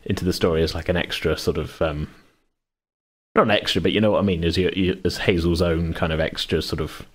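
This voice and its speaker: Male podcast host, very low voice, rambling casual chat with chuckles and self-corrections. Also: fairly even intonation, brisk. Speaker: podcast host and game master.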